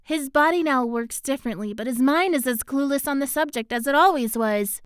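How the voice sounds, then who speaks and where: A woman's voice: high-pitched, fairly quick, dry recording, studio quality, close to an everyday voice. A woman voicing a seductive, scheming female succubus in a fan audio drama, talking in a coaxing way. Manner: coaxing, sultry.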